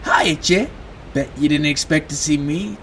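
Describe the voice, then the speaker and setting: A woman's voice: low voice, measured pace, fairly bright tone, husky, full character voice. A woman playing a cheeky, chatty, scrappy young adventurer in an audio drama.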